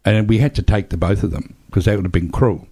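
A man's voice: lowish voice, quick pace, warm tone. An older man, hosting a casual radio chat and telling stories with a chuckle. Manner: chatty, anecdotal, chuckles through stories.

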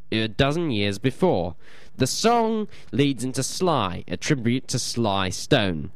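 A man's voice: mid-range voice, no room sound. Rambling New radio host man. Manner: rambling, self-deprecating, stumbles and repeats words. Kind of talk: casual radio chat and reading aloud.

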